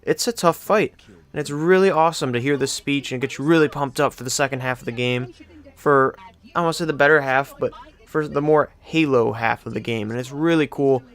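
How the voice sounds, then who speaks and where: Young guy doing hyped gaming countdown, clean smooth voice, casual n effusive. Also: mid-range voice, fairly quick, fairly bright tone. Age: young adult. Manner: enthusiastic, effusive. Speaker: video game channel host.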